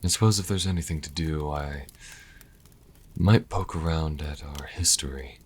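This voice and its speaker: A man playing a proud, wry vampire healer in an intimate audio roleplay, speaking to the listener with haughty, deliberate pauses. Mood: reflective, wry. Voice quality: very deep, husky, breathy at times. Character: sassy male vampire healer, proud, wry, grudgingly charitable.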